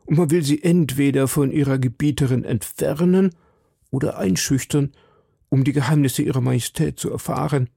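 A man's voice: mid-range voice, moderate pace, vocal fry, dark tone, breathy edge, no echo, studio quality, pitched above the performer's own voice. A man voicing a timid, deferential middle-aged landlord in an audiobook, hesitant and formally polite. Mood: earnest, confiding. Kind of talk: audiobook character dialogue.

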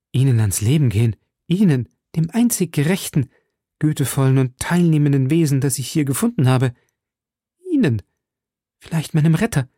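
A man voicing a desperate, scheming noblewoman prisoner, pleading in raised, husky tones and hushed whispers. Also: no room sound, studio quality, heavily acted. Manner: imploring, breathy whispers and high raised pleas.

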